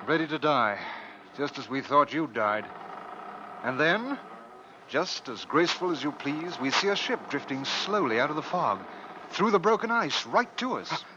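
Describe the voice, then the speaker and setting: An actor playing a hearty, reassuring ship's officer with a brisk storytelling manner in an old radio drama. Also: male voice, fairly high pitch, lively intonation, close to an everyday voice. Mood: reassuring, cheerful. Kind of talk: 1950s radio drama acting. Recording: muffled old radio recording, faint noise.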